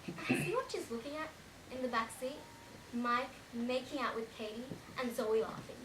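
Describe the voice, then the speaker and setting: A woman's voice: a little high, moderate pace, close to an everyday voice. A young woman playing a shaken teenage girl in a dramatic monologue, telling her story in a colloquial, run-on way. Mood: uneasy, chatty. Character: shaken teenage girl recounting a car crash. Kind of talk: dramatic stage monologue, first-person storytelling. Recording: echoey room, distant mic, faint noise.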